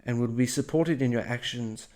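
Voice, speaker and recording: A man's voice: mid-range voice, normal speed, darkish tone, close to an everyday voice. A man putting on a British accent to voice a stiff, formal male colonial official with clipped diction. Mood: formal stern. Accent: British (put on).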